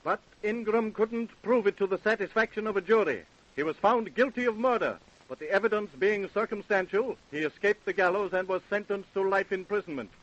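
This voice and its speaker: An actor as an earnest narrator, reading scripted narration of a crime case in an old-time radio drama. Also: male voice, high-pitched, a little fast, fairly bright tone. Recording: dull, lo-fi old radio recording.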